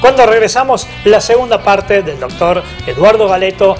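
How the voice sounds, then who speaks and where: A high-pitched man announcing on the radio in a lively announcer manner. Faint music plays beneath his voice. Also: moderate pace. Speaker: radio host.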